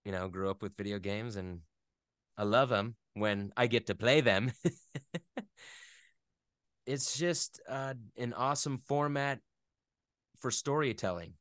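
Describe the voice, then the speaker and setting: A man speaking as an interview guest in casual chat, thoughtful and often halting as he searches for words. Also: medium pitch, a bit bright, dry recording, studio quality. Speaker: interview guest, video game voice actor.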